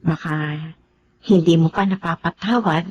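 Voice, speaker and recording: A woman's voice: lowish voice, moderate pace, slightly rough, dull audio. A woman with a Filipino accent playing a forgiving, composed ex-girlfriend and mother in a TV drama. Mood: forgiving, earnest.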